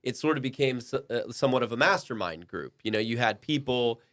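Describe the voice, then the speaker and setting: A man with a mid-pitched voice hosts a casual panel conversation. He banters with the others and takes his time laying out his points in full. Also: lively intonation, brisk, fairly bright tone. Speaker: podcast host.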